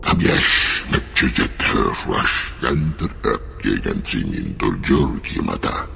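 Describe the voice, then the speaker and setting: Very deep gravelly alien cave creature. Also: male voice, sing-song, measured pace, bright, forceful tone, heavily acted. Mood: grumbling, agitated. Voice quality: gravelly, guttural. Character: male alien cave creature, exiled, hungry, speaking alien gibberish. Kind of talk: video game character acting in an invented alien tongue. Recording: cavernous reverb, noisy game capture.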